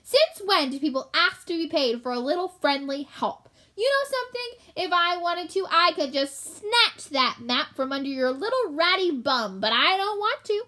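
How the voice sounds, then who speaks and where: A woman's voice: extremely high pitch, big pitch swings, moderate pace, hardly any pauses, sharp tone, pitched above the performer's own voice, full character voice. Woman playing a feisty, grumpy brownie girl. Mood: angry. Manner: blunt, combative, indignant.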